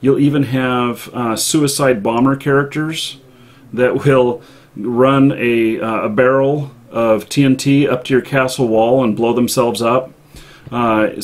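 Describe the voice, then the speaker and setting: A man hosting a live show, chatting casually about games in a halting way with pauses mid-thought. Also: mid-range voice.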